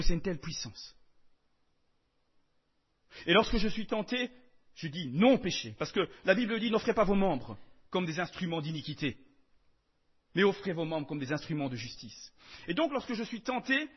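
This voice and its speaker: Emphatic French preacher, lively high voice. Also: male voice, normal speed, pauses often, breathy edge, muffled recording. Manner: emphatic, swings between loud and soft. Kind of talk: sermon.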